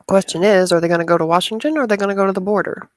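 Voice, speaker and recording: A woman's voice: medium pitch, very fast, no echo, studio quality. A woman co-hosting a casual online chat, chatty and playful. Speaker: co-host.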